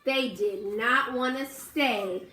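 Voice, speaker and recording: A woman's voice: fairly high pitch, slow, pushed and bright. A woman teacher reading a picture book aloud to children, coaxing them to count along and asking them questions. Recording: roomy echo.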